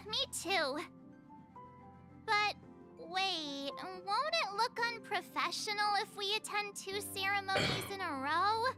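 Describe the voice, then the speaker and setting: A woman voicing a chatty, bubbly young teenage girl in a video game, eager for fun. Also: extremely high pitch. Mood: unsure, questioning.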